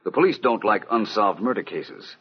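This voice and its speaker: Suave private detective, low husky voice, widely swinging intonation, dry wit, vintage radio drama, muffled recording. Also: male voice, expressive, normal speed. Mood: dry, probing. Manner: dry, wry, politely probing. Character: urbane male private detective, dry, wry and probing. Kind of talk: old-time radio detective drama acting.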